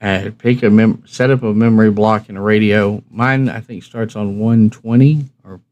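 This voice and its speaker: A man's voice: on the low side, steady tone, moderate pace, a little mellow, muffled recording. A man hosting a hobby live stream, explaining things in a casual, folksy way and answering his own questions. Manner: folksy, conversational, asks himself questions and answers them. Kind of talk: casual instructional livestream talk.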